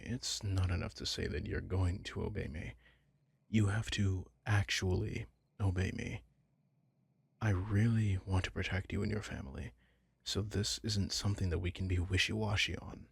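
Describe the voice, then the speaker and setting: A man playing a soft-hearted but possessive young mafia heir in an intimate ASMR roleplay, speaking gently and haltingly in a husky, breathy voice. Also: low-pitched, no echo, full character voice. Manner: halting, gentle, apologetic, slipping into whispers. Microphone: close, dry microphone.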